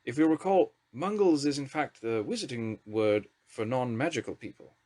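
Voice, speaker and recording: Elderly headmaster wizard, voiced by the narrator, dry and patient. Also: male voice, medium pitch, expressive, normal speed, a little mellow, natural voice.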